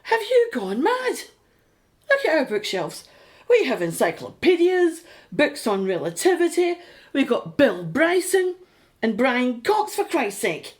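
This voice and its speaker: A practical, sceptical middle-aged British wife in a radio drama. Her voice is high and very animated, full of exasperation and sarcasm. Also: measured pace, exaggerated voice. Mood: incredulous, exasperated. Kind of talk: radio play acting.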